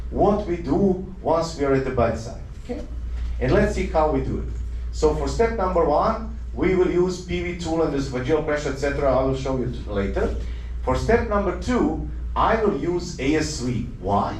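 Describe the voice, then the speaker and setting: Doctor lecturing in a roomy hall. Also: male voice, fairly high pitch, darkish tone, slight background noise. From Croatia. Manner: earnest, explanatory, with rhetorical questions and self-corrections. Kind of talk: conference lecture. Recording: roomy hall, mic at a distance.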